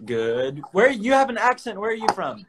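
A man's voice: sits high, very animated, moderate pace, background noise. Young guy goofing around on a live stream, phone held out, chatty, small room. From United States. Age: young adult. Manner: playful, chatty, switching between English and Tagalog. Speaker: livestream host. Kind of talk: casual livestream chat mixing English and Tagalog. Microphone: phone at arm's length.

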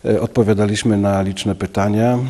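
A man in his fifties, a local official giving a news interview in an office, speaking haltingly with many filler sounds into a handheld mic. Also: on the low side, steady tone, dark tone. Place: office, indoors. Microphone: handheld station mic.

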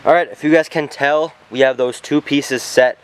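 A man's voice: medium pitch, fairly even intonation, moderate pace, clean tone, low-quality recording. A young man giving plain, matter-of-fact commentary as he works outdoors in a forest, recorded on a camera mic. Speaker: bushcraft vlogger. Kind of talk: casual narration while working. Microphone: camera mic on a tripod or handheld.

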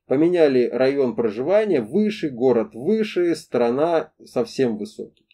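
Very mellow, dark-voiced man lecturing expressively. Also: mid-range voice, very animated, a little slow. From former Soviet country, moved to Russia as a child. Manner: didactic, emphatic, with rhetorical questions and repetition. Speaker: host of a pedagogy talk series. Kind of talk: explanatory monologue to camera. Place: indoor room against a plain wall.

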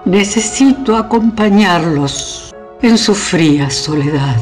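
Slow-speaking, regal old queen. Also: lowish voice, animated, darkish tone, faint music behind. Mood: solemn, melancholy. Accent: Argentine. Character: imperious, nostalgic, bawdy old queen mother of medieval England. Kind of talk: radio drama acting.

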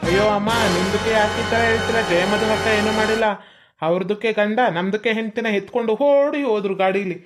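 A man's voice: sits high, quick pace, faint music behind. Man playing a cheeky man in broken Kannada. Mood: arguing. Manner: odd broken phrasing, cheeky. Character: brash young man speaking broken Kannada. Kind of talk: comedy skit acting.

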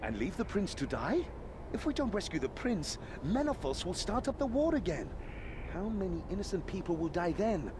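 An actor voicing a brash, plucky young adventurer in a video game, with an excitable, theatrical manner. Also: male voice, high-pitched, normal speed, noisy background. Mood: indignant.

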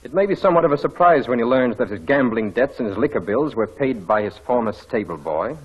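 Husky, cold, sardonic gentleman. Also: mid-range voice, animated, brisk, dull audio. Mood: cool, sardonic. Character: proud, cold, sardonic self-made gentleman with a grudge. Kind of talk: 1940s radio drama acting.

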